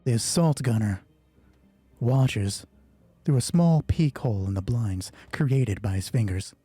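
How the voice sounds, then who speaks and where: Man with mellow voice, roomy sound. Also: mid-range voice, measured pace, slightly rough, faint music behind. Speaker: solo roleplay host and narrator. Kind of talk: narrated tabletop roleplay storytelling with casual asides.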